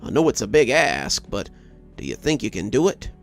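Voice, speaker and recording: A man voicing a gruff, businesslike boss of a trucking outfit, blunt and plain-spoken, in a story reading. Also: medium pitch, very animated, moderate pace, faint music behind. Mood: businesslike, urgent.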